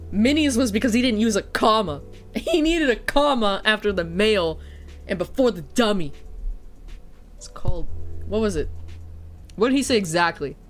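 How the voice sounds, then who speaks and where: A woman's voice: medium pitch, animated, normal speed, pauses often, soft background music. A woman streamer and teacher chatting casually and a bit stuffy-nosed, swearing and poking fun at herself. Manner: chatty, swears, self-deprecating. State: sniffly, stuffy nose. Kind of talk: casual chat while streaming.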